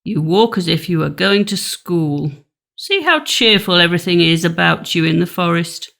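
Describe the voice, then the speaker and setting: A woman's voice: on the low side, expressive, moderate pace, vocal fry, fairly bright tone. A woman voicing a sly, hungry male wolf in a storybook, coaxing and cunning.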